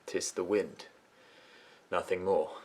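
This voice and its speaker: A man's voice: low voice, fairly even intonation, takes it slowly, a little mellow, breathy edge. A man performing a poem as a dramatic recital.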